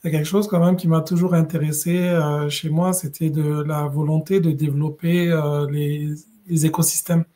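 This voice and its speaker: A middle-aged man from West Africa, a podcast guest, telling his story in a measured, thoughtful way with many pauses and restarts. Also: on the high side, flat delivery, dark tone. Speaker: guest, regional director. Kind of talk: conversational podcast interview.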